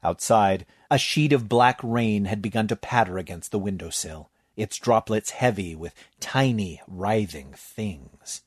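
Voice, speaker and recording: A man narrating a story in an expressive, measured audiobook read. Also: on the low side, slightly rough, no room sound, clean studio sound.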